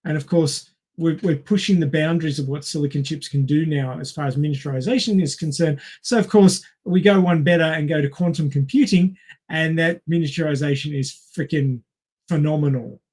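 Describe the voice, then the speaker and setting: A man's voice: on the high side, brisk, a little mellow, breathy edge. A man in a casual panel discussion, explaining technical things step by step. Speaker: podcast panelist.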